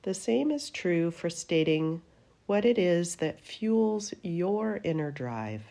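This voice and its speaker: A woman with a low, mellow voice leads a guided meditation. She speaks very slowly and gently, in soothing phrases that trail off at the ends. Her tone is dark and relaxed, suited to a teacher of deep rest practice. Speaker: yoga nidra meditation teacher.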